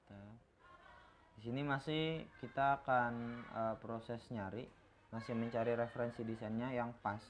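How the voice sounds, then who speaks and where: A man giving an informal software tutorial, explaining in a halting, self-repeating way as a teacher. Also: medium pitch, fairly even intonation. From Indonesia. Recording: dull lo-fi microphone, clear background noise.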